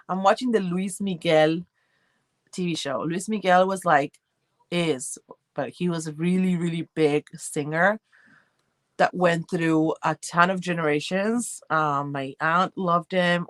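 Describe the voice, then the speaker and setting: A woman's voice: fairly low pitch, a bit bright, no room sound. Chatty woman with an Argentine accent. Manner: chatty, rambling, reads and answers chat comments. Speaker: livestream host. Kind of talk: casual livestream chat with viewers.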